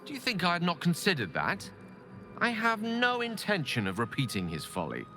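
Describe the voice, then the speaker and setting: Ambitious fantasy game wizard, animated swooping delivery, eloquent, earnest, embittered, theatrical, music underneath. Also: male voice, high voice, normal speed, full character voice. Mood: defiant, impassioned. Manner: articulate, theatrical, earnest, formal phrasing. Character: ambitious, eloquent, embittered male wizard pleading his case. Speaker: video game companion character. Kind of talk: dramatic game dialogue acting. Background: background music.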